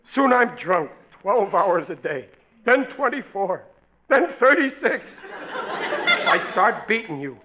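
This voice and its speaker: A man's voice: high-pitched, huge pitch swings, slow pace, heavily acted. An American actor playing a blustering, wheedling family father in a classic radio comedy, melodramatic and exasperated. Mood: indignant. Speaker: sitcom lead actor. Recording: dull, noisy old radio broadcast.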